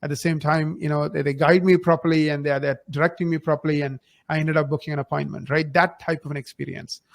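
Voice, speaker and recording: A man's voice: on the high side, fairly even intonation, quick pace, vocal fry. A man giving advice conversationally as a podcast guest, emphatic and fond of rhetorical questions and acted-out examples. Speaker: podcast guest, marketing company founder.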